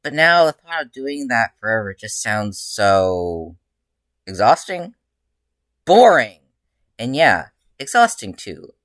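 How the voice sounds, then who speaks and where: A bored, mischievous grown-up male hero , put on by a story reader, airing grievances with theatrical emphasis and drawn-out words. He sounds exasperated and a little unhinged, in a dry audiobook-style reading. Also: medium pitch, very animated, a bit bright, no echo. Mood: exasperated, dramatic.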